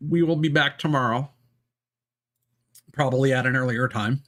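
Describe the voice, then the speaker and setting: A man live streaming a video game, chatting casually and thinking aloud in a halting way as he troubleshoots. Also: medium pitch, fairly bright tone.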